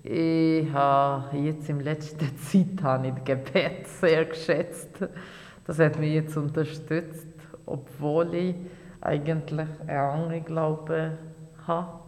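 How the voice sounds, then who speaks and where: Earnest 40-something woman, gravelly, halting, hall echo. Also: low-pitched, level tone, darkish tone. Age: 40s. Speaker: interviewee. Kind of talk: casual interview answers.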